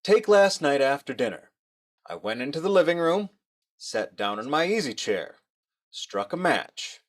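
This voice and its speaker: A jolly sing-song husband. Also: male voice, very animated, a little slow. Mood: jovial. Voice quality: chummy, sing-song pitchman. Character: jolly fussy husband planning a surprise party. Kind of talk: 1950s radio comedy acting.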